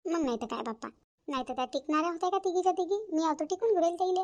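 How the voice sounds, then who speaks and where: A woman's voice: very high-pitched, racing, a little mellow. A woman playing a bossy, self-praising village mother in a Marathi family cartoon, chatty and emphatic, with a Varhadi accent from Vidarbha. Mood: boastful. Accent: Varhadi Marathi of Vidarbha, India.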